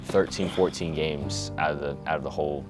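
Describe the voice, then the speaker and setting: Teen quarterback from Hawaii, very deep voice, reflective interview, soft music under. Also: male voice, steady tone, fairly quick. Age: teens. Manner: reflective, many "you know" fillers. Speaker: high school quarterback. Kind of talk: documentary interview.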